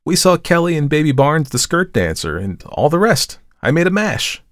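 A man voicing a merry young woman, an artist's model who chatters brightly and girlishly in a story reading. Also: medium pitch, big pitch swings, moderate pace, no room sound, studio quality, voice pushed up. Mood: bright, boastful.

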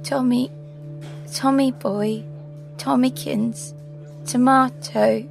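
A woman's voice: fairly high pitch, fairly even intonation, takes it slowly, creaky, darkish tone, soft background music, low-quality recording. An actress playing a prim, ancient girl in a nine-year-old's body, in an audio drama. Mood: fond. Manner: proper, old-fashioned formal phrasing.

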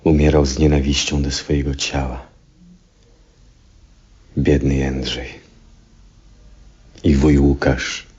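An actor playing a lonely, sick old male astronomer in a radio drama, speaking in a halting, reflective, husky voice. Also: a bass voice, level tone, exaggerated voice. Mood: weary, melancholy. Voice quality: husky, hushed. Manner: halting, reflective, with long pauses. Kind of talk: 1970s Polish radio drama acting, introspective monologue. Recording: dull, muffled 1970s radio recording.

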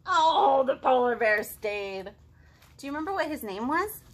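A woman in her thirties hosting a children's storytime, reading and chatting to young kids in an expressive, sing-song, exaggerated way. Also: high-pitched, normal speed. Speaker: children's librarian, storytime host. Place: living room at home, indoors.

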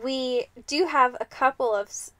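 A young American woman co-hosting a podcast, chatting casually in an excitable, slangy, expressive way. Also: sits high, a little slow. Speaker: podcast co-host. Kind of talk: casual chat.

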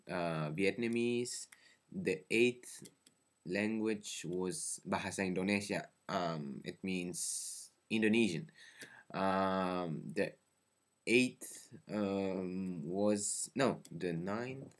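A young man in his twenties, a native Teochew speaker, talks to his webcam from a small bedroom, hesitant, with many fillers and self-corrections. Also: deep voice. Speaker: vlogger. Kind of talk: casual talk to camera. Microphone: webcam at close range.

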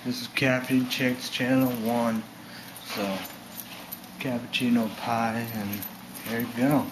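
Slow, rambling young man in a roomy kitchen. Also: medium pitch, little pitch movement. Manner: rambling, halting, self-correcting. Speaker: home cooking vlogger. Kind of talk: casual cooking demo to camera. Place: home kitchen, indoors. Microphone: camera mic from across the room. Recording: roomy home video with loud hiss.

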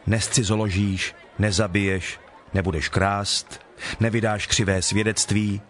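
A man playing Jesus as a solemn, authoritative teacher in a dramatized scripture reading, speaking in measured declarations. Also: lowish voice, little pitch movement, a bit bright, a little hiss or hum, close to an everyday voice. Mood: solemn, instructive. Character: Jesus, solemn teacher telling parables and instructing followers. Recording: music behind the voice.

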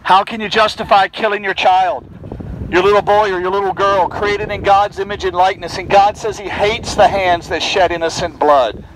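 A man's voice: high voice, fairly even intonation, normal speed, a bit bright, slight background noise, poor audio. A man preaching in the open air outside a building, calling out insistently to people passing by. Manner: projecting, insistent pleading. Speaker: street preacher. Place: outdoors by a fence and bushes.

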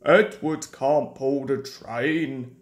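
A woman's voice: very deep voice, very slow, reverberant, pitched below the performer's own voice, heavily acted. A woman voicing a proud, pompous male steam engine in a children's storybook, grumbling and haughty. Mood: scornful.

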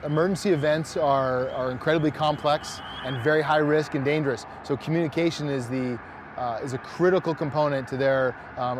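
A man's voice: medium pitch, noisy background. A man from the fire service speaking earnestly and plainly in an interview.

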